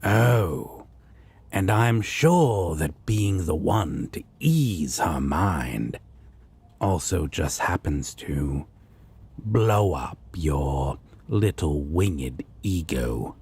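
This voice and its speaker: A man playing a sardonic devil roommate in a romantic audio roleplay, with a husky rasp, drawling and teasing but doting on his sweetheart. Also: extremely low pitch, lively intonation, drawn-out words, a little mellow, exaggerated voice. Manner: taunting, drawling, playfully mocking.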